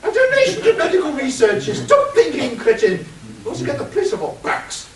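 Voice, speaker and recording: Imperious, callous British noblewoman played by a man, wildly animated comic radio delivery, faintly hissy distant recording. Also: very high-pitched, brisk, full character voice. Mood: breezy. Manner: haughty, exclamatory. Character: titled upper-class woman, dim, imperious, breezily callous amateur sleuth. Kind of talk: comic radio play acting. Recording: distant mic, roomy echo, faint hiss.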